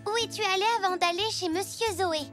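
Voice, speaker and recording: A young zebra child in a children's cartoon. The voice is very high and childlike, sensible and helpful. Faint music plays underneath. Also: fairly quick, fairly bright tone.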